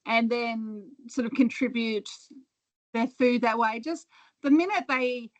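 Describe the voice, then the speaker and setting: A woman guest on a video call, answering an audience question in a thoughtful, relaxed way. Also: fairly high pitch, measured pace, no echo, highs cut off. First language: not Dutch; Dutch is her second language. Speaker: guest speaker, fundraising expert.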